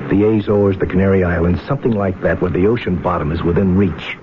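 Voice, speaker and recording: Clear noise and thin vintage radio sound surround a low male voice. An actor plays a plainspoken, doubting salvage diver, the sidekick of an adventure serial, casual and blunt in delivery. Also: fairly even intonation, fast, lightly acted. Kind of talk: old-time radio drama acting. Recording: noisy, tinny old radio broadcast.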